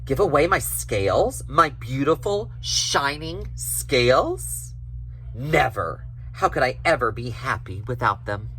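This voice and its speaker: A man's voice: mid-range voice, lively intonation, slow pace, a bit bright, slight background noise, rough recording. A man voicing a proud, vain male fish with a haughty, theatrical manner in a children's story. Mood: dismayed.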